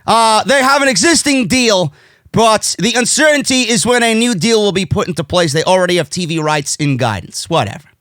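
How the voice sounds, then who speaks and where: A man's voice: high-pitched, lively intonation, a little fast, a bit bright, dry recording, studio quality. A man with a New York accent delivering a brash, profane, indignant rant as an opinionated wrestling commentary host, hammering his points with emphasis. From United States.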